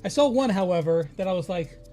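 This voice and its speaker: A man's voice: high voice, animated, fast talker, mellow, faint music behind. A man hosting a casual, conversational interview. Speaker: interview host.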